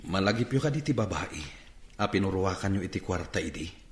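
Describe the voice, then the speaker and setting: A man's voice: deep voice, lively intonation, a little fast, a little hiss or hum. A Filipino man acting a humbled young job-seeker from a fallen rich family in a radio drama, speaking hesitantly and politely. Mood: sulky, ashamed. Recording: roomy, echoing sound.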